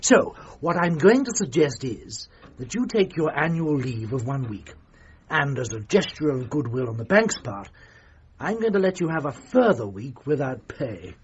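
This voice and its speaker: An actor playing a pompous, patronising British upper-class banker in a radio drama, speaking in a measured way. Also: male voice, medium pitch, big pitch swings, close to an everyday voice. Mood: smug. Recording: muffled, faint noise.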